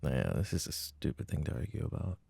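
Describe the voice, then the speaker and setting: Boyfriend role for a male actor: very low, gravelly, slow and halting, loving yet jealous, intimate ASMR roleplay. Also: sing-song, warm tone, no echo, heavily acted. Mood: worried, insecure. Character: loving but jealous, uneasy young boyfriend.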